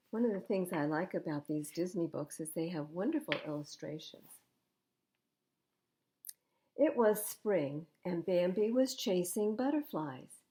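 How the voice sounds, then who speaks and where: An older woman reading picture books aloud as a library storytime host, in an expressive, animated manner. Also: lowish voice. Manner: animated, acting out dialogue.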